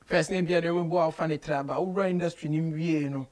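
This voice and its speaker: A Ghanaian man with a high-pitched voice and a Ghanaian accent hosts a relaxed interview. He moves freely between Twi and English in a casual, conversational style. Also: fast, slight background noise. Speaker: radio show host. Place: small studio office, indoors.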